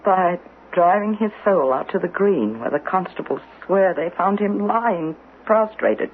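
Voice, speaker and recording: A woman's voice: lowish voice, lively intonation, unhurried. An earnest, shaken woman, old radio sound. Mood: awed, intense. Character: earnest, shaken woman grieving her great-uncle. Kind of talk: radio mystery drama acting. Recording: muffled, noisy old radio broadcast.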